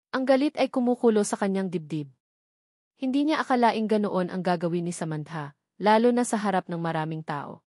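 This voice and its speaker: A woman's voice: mid-range voice, darkish tone, no room sound, studio quality. A woman with a Filipino accent reading scripted dramatic narration of a romance story.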